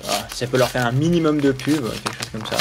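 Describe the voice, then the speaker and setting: A man's voice: mid-range voice, fast, vocal fry, heavy background noise. A young man chatting casually with a friend as he opens card packs, rambling and laughing often. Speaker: co-host. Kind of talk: casual chat while opening card packs. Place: small room indoors. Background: faint music.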